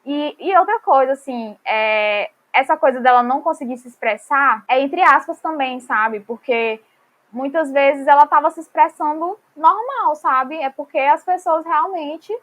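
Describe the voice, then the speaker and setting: A woman's voice: sits high, measured pace, a bit bright. A woman guest on a podcast who speaks earnestly in casual conversation, with frequent fillers.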